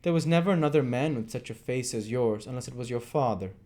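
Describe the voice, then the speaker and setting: A gruff, bullying robber, as a man reads him. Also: medium pitch, quick pace, pitched above the performer's own voice, natural voice. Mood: angry. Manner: loud, gruff and threatening. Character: brutal, bullying grown male robber. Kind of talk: dramatic read-aloud.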